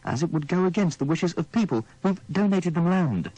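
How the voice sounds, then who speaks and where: A man's voice: fairly high pitch, animated, brisk, warm tone, no echo, poor audio. A man reading scripted radio news in a formal manner. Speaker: radio newsreader.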